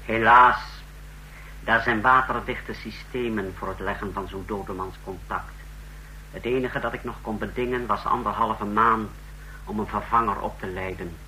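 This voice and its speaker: An actor in a Dutch radio play voicing a proud, menacing secret-service officer with a clipped, formal way of briefing. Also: male voice, fairly low pitch, fairly even intonation, moderate pace, bright, forceful tone, poor audio. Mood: self-assured briefing. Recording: faint hum, old radio recording.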